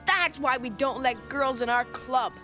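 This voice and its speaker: High-voiced grumbling cartoon boy. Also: unhurried. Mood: scolding. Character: grumbling, blunt little boy in a club. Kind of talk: cartoon voice acting. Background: cartoon music.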